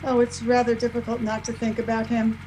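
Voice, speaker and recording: A woman's voice: a little high, completely flat delivery, brisk, dark tone, slight background noise, natural voice, rough recording. A woman playing an anxious, grieving young sister in an old time radio drama, earnest and questioning, recorded over a video call. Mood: worried, tender. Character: worried, grief-stricken young woman, sister of a slain trooper.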